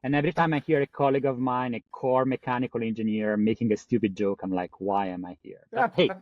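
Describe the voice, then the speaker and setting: A middle-aged man with a strong Italian accent, a guest on a podcast, talking lively and laughing often. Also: mid-range voice, fast talker, dull audio. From Italy. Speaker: guest, climate engineer. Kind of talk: podcast interview.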